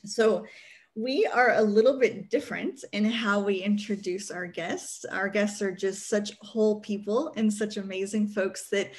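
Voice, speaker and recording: A woman's voice: mid-range voice, steady tone, unhurried. A woman in her forties hosting an online panel from a small home room over a video call, speaking in an upbeat, effusive way. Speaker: host.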